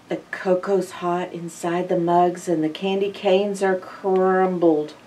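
An older woman reading a picture book aloud to young children in an expressive, drawn-out storytime manner. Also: lowish voice, distant mic, slight background noise, low-quality recording. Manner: expressive, drawn-out phrases with hushed asides and questions to listeners. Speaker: library storytime reader. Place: indoor library in front of bookshelves.

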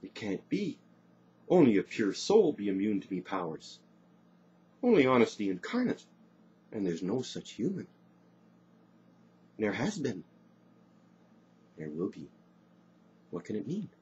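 A man's voice: lowish voice, animated, normal speed, dull audio, slight background noise, natural voice. A man voicing a proud, volatile, centuries-old sorceress with grandiose archaic dialect in a storybook tale. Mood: dismayed.

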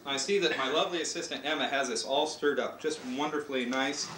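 An American man with a mid-pitched voice presents a casual cooking demonstration in a playful, step-by-step way. He is recorded on a home camcorder in an echoey kitchen, with clear noise around his voice. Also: fast talker, bright, forceful tone. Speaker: father hosting a home cooking show. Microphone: camcorder mic a few feet away.

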